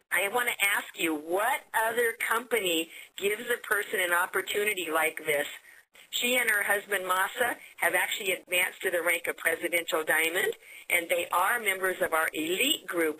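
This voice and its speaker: An American woman hosting a business conference call, giving an upbeat sales pitch and often repeating words as she goes. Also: moderate pace, sharp tone, poor audio. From United States.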